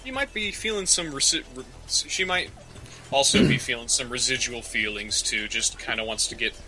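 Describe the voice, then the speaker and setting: A man co-hosting a casual comedic advice podcast, talking in halting, slangy phrases and often repeating his words. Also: medium pitch, low-quality recording. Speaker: podcast co-host.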